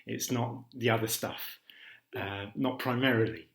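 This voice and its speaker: A man interviews in a conversational, thoughtful style, his voice at an ordinary pitch with clear room echo around it. He builds his questions carefully, adding reflective asides along the way. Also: unhurried, fairly bright tone. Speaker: interviewer and host. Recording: roomy, echoing sound.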